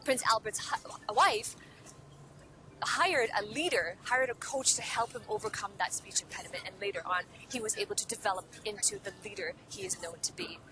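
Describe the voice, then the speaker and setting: A woman's voice: medium pitch, moderate pace. A woman from Hong Kong whose first language is Cantonese, teaching public speaking in an earnest motivational video lesson and asking the viewer many rhetorical questions. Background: background music.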